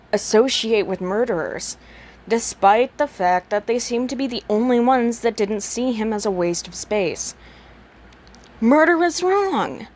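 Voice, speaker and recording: A woman reading a story aloud as a narrator, voicing the character's thoughts expressively. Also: medium pitch. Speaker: amateur audiobook narrator reading fan fiction.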